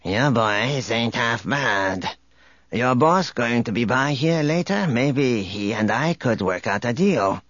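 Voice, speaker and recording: A man's voice: mid-range voice, animated, slow pace, fairly bright tone, no echo, muffled recording. A man voicing a shrewd female alien barkeep in a sci-fi audiobook, slangy and wheedling. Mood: sly, wheedling.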